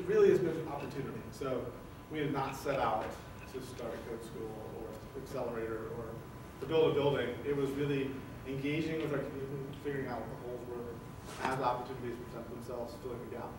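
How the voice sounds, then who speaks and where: A man's voice: medium pitch, fairly quick. A man in his thirties giving a conference keynote from a podium, speaking loosely with many ums and uhs. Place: large conference hall, indoors. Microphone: podium mic, heard from a distance. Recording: echoey hall from far off, with hiss.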